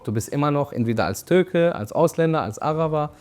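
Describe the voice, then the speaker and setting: A man's voice: on the high side, animated, fairly quick, darkish tone. A young man from Syria, a shop owner and referee, speaking reflectively in a documentary interview with fillers and restarts.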